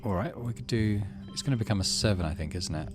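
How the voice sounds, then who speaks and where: A man's voice: lowish voice, quick pace, music in the background. A man hosting a video game playthrough, reading the story text aloud and adding casual commentary. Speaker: gaming channel host.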